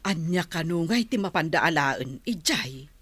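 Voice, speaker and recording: A woman's voice: low voice, expressive, unhurried, fairly bright tone, husky, no room sound, full character voice. A woman playing a disapproving village woman hearing gossip in a radio drama, with a dismayed drawl. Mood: puzzled. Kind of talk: Ilocano radio drama acting.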